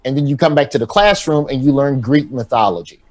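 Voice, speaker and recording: A comedian from talks at an ordinary middle pitch. He tells stories in a wry, loose way. His punchlines climb and get animated. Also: fast. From Alabama, US. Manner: wry, animated joke-telling with rising emphasis. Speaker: comedian guest. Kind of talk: casual chat with comic storytelling.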